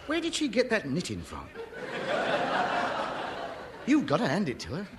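Pompous, easily cowed boss for old radio comedy: theatrical, exasperated, extremely swooping high voice. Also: male voice, huge pitch swings, moderate pace. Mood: wry relief. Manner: theatrical, indignant, grovelling when beaten. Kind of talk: 1950s radio sitcom acting. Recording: noisy old radio recording.

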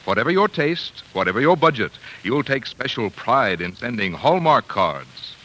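A man's voice: mid-range voice, very animated, normal speed, bright, forceful tone, poor audio. An American man reading scripted announcements as a 1940s radio announcer, on an old broadcast. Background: music.

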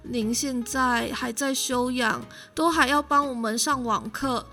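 A woman's voice: fairly high pitch, unhurried, soft background music. A woman voicing an eager, nosy, mischievous young student class monitor in a Chinese radio drama. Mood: polite. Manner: excitable, polite to teacher, mischievous. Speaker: amateur voice actor.

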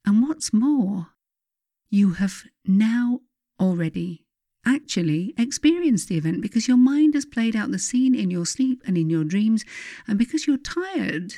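Mellow woman reading, close and dry. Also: medium pitch, dry recording. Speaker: author reading own book aloud. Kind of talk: scripted audiobook narration.

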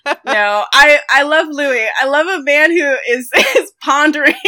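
A woman with a fairly high, very bright voice talks films as a podcast co-host. She is chatty and gushing, with a giggly streak running through her casual delivery. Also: unhurried. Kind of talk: casual film discussion.

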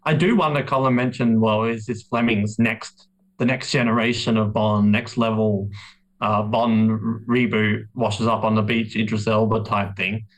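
A man's voice: lowish voice, little pitch movement, normal speed, rough recording. A man co-hosting a podcast in a casual, conversational discussion. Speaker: podcast co-host.

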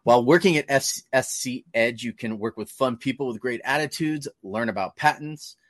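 A man's voice: medium pitch, normal speed, no echo. An American man reading scripted advertising copy as a show's co-host. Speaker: co-host reading sponsor spot. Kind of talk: scripted ad read.

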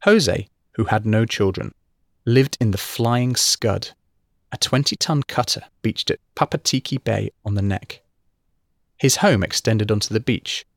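A man reading a true-crime feature article in measured scripted narration. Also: medium pitch, gravelly, no room sound, studio quality.

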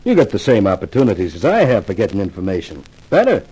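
A man's voice: fairly low pitch, wildly swooping pitch, fast talker, dark tone, breathy edge, exaggerated voice, low-quality recording. An actor playing a cocky, wisecracking male columnist in a 1950s radio detective drama, glib and wry. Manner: glib, wry, self-assured.

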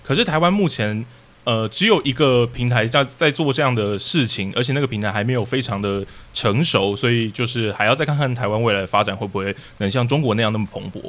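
A man's voice: medium pitch, fast, fairly bright tone. A man with a Taiwanese accent answering as an interview guest, explaining things casually in careful, qualified statements. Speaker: podcast guest, radio drama troupe leader.

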